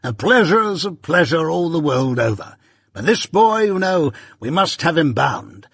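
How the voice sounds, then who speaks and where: A man's voice: a little high, very animated, measured pace, vocal fry, fairly bright tone, breathy edge, dry recording, clean studio sound, voice pushed up, full character voice. A man voicing a pompous, self-satisfied older uncle, a patronizing seed merchant in a storybook. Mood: officious, self-important.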